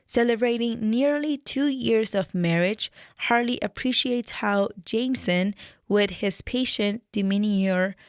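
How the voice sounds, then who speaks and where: A woman from Peru with a Peruvian Spanish accent, a therapist hosting a radio show and reading a prepared introduction in a measured, earnest way. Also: fairly high pitch, clean tone, no echo, clean studio sound. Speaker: radio show host and mental health therapist.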